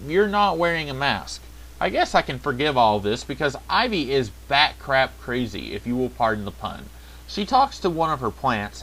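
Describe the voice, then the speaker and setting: A man's voice: medium pitch, a bit bright, slight background noise, rough recording. A man talks through a scripted review in a mid-range voice that rises and falls a lot. His delivery is wry, with sarcastic asides. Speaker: video reviewer.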